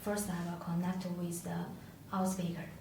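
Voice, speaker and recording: Female product demonstrator, non-native English, lowish voice, halting tutorial delivery, heavily reverberant room recording. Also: little pitch movement, creaky. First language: not English. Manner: halting, hesitant delivery with searching pauses. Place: echoey indoor room, tabletop demonstration. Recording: echoey room, distant mic, faint noise.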